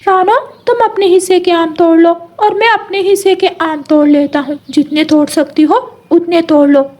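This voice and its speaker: A woman voicing a kindly, shrewd male parrot neighbour in an animated children's story. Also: very high voice, moderate pace. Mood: friendly. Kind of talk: animated Hindi moral story dialogue.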